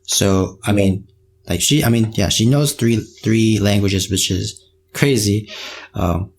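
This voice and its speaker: Low-voiced man chatting casually. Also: takes it slowly, creaky, a little hiss or hum. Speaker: podcast host, K-pop reaction video creator. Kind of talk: casual chat.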